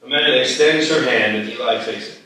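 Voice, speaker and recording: A man narrates screenplay directions at a live reading. His voice is bright and forceful at a middling pitch, picked up from a distance in a strongly reverberant hall. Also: fairly quick. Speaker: stage directions reader. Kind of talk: scripted narration at live table read. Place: live festival stage reading. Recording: very echoey hall, distant mic.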